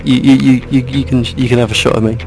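Male comedy podcast host, gravelly edge, excitable stammer, giggly improviser, music underneath. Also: mid-range voice, fast. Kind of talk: improvised comic banter.

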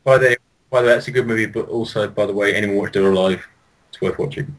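A man co-hosting a podcast in casual, unscripted banter with a playful, excitable manner. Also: fairly low pitch, fast, rough recording. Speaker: podcast host.

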